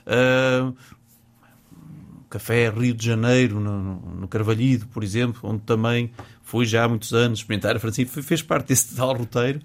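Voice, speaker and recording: A man's voice: mid-range voice, steady tone, normal speed. A man from Porto with a northern Portuguese accent, a guest in a casual radio interview who talks in a chatty, hesitant way, repeating words and laughing easily. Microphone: studio microphone.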